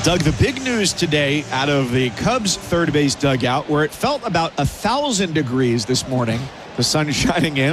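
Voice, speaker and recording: A man calling baseball play-by-play on the radio, brisk and rising with the action. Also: fairly high pitch, noisy background. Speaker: play-by-play announcer.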